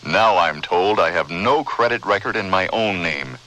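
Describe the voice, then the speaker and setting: A male announcer reads a scripted radio notice. His voice is fairly low and bright, with very animated intonation. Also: moderate pace, low-quality recording. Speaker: public service announcer.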